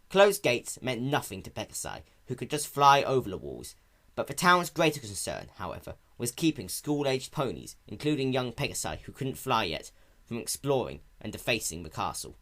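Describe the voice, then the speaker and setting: A man gives a dramatic narration of a fantasy fan story. His voice sits in a middle register and moves with lively, wide-ranging intonation. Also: mid-range voice.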